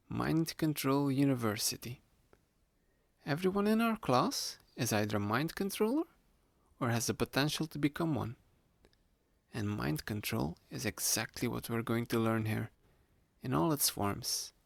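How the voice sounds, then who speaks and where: Sly young male roommate, acted by a man: playful, manipulative hypnotist for ASMR roleplay, creaky voice, close dry mic, unhurried delivery. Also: medium pitch, no echo. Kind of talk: ASMR roleplay, addressed to the listener. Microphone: close studio-style microphone, dry.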